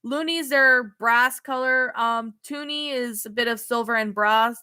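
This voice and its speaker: High bright Canadian woman streamer. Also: measured pace, clear, resonant tone. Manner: hesitant, many ums and restarts. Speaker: streamer reading chat. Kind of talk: casual live stream chat.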